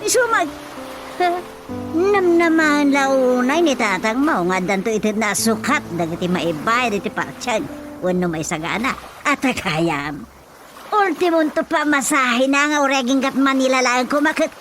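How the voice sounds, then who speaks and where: A woman as high-voiced, fussy Ilocano mother. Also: lively intonation, unhurried, music in the background. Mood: grumbling. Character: fussy, practical, scolding rural mother. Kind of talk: radio drama comedy acting.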